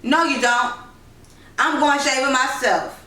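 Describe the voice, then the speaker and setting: A woman's voice: high voice, a little slow, bright, forceful tone, recorded from a distance, pitched above the performer's own voice. A woman voicing a boastful, teasing young boy with a rural Southern accent in a storybook reading. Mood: taunting. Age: child. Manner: boastful, taunting, dialect-heavy. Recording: roomy echo, noisy, off-mic home recording.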